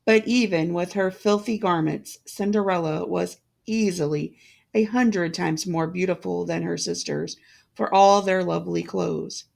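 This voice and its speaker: A woman reads a fairytale aloud as a storyteller. Her voice is on the low side and heavily creaky, and she takes her time, phrasing slowly and deliberately. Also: darkish tone. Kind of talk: scripted fairytale narration.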